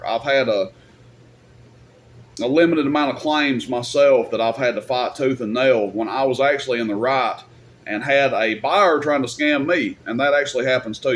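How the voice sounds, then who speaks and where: Male, mid-pitched, casual rambling video host, plain-spoken delivery, some background noise. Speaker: YouTube host and radio equipment seller. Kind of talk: casual off-the-cuff advice talk.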